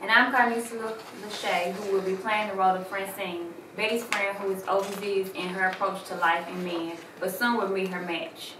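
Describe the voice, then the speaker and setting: A woman's voice: medium pitch, steady tone, moderate pace, few pauses. A woman, an actress, giving a rehearsed appeal to promote a stage play. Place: indoor living room. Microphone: distant camera microphone. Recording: echoey room, distant mic, clear noise.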